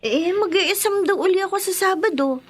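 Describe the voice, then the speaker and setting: A woman's voice: extremely high pitch, normal speed. A woman with a Filipino accent playing a weary, troubled teenage daughter in a radio drama. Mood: subdued, matter-of-fact.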